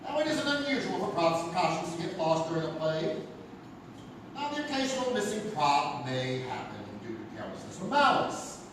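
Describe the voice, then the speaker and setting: High, dramatic mystery narrator. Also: male voice. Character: male storyteller narrator of ghostly mysteries. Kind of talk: dramatic scripted narration, live radio theatre. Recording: echoey, noisy live theatre from far off.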